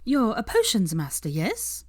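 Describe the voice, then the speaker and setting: Woman voicing a confident, lively young Italian woman. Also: mid-range voice, measured pace, husky, no room sound, clean studio sound, pitched above the performer's own voice, exaggerated voice. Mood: curious.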